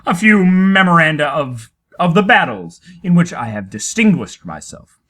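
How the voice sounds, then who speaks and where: A man playing an earnest, self-assured Greek warrior hero in a dramatic storybook reading, deliberate and declamatory. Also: fairly high pitch, sing-song, sharp tone, heavily acted. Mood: nervous.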